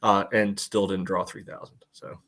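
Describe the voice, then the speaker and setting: A man's voice: deep voice, level tone, moderate pace, fairly bright tone. A man co-hosting a podcast in casual sports chat, often saying "you know" as he goes. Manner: peppers speech with "you know". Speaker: podcast co-host.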